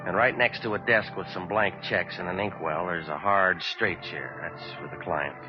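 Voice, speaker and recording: A very low-pitched, hard-boiled American private eye acted out by a man, narrating in terse, deadpan wisecracks. It is a 1940s radio detective play on a dull vintage recording, with music behind. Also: normal speed, close to an everyday voice. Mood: dry, wry.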